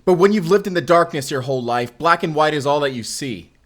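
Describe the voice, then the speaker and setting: A man's voice: fairly high pitch, expressive, brisk. A man acting a mysterious vampire slayer, earnest and wryly awkward, in an intimate audio roleplay with casual, confiding delivery. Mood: grim, intense. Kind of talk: cinematic audio roleplay acting, intimate one-sided dialogue.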